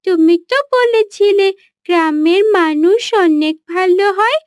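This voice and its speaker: A woman's voice: very high-pitched, a little slow, no room sound, clean studio sound. A woman voicing a polite, earnest young girl in a cartoon, with a questioning manner. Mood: hurt, puzzled. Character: polite, earnest young city girl, hurt but friendly. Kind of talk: cartoon character dialogue.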